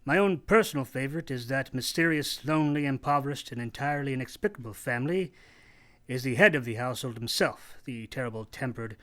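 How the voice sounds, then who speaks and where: A man's voice: mid-range voice, expressive, fairly quick, a bit bright, lightly acted. A man narrating a radio play in a wry, literary, theatrical storytelling manner.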